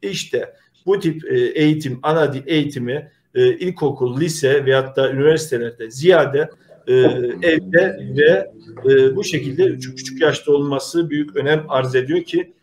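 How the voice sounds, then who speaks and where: A middle-aged man, hosting an online community show in an earnest, halting, polite way. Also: a little high. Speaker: host of a community video show. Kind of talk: informal presenting to an online audience.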